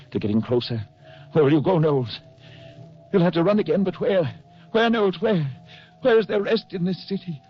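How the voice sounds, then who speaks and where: A man's voice: a little high, close to an everyday voice. An actor playing a hunted, exhausted man on the run in a 1940s radio drama, brooding and intense. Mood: desperate. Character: hunted, exhausted fugitive with a haunted mind. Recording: muffled vintage radio with music bed.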